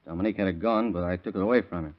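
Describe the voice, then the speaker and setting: A man's voice: low voice, very fast, natural voice. A man playing a hot-tempered, blunt young father in a 1930s radio crime drama. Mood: defensive, blunt. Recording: dull lo-fi vintage radio recording.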